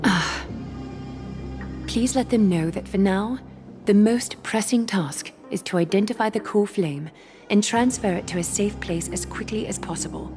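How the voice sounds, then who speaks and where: A woman playing a composed, dignified noblewoman leader in a video game, speaking in a measured, formal, authoritative way. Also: mid-range voice, over music, close to an everyday voice. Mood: grave, commanding. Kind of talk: dramatic video game voice acting.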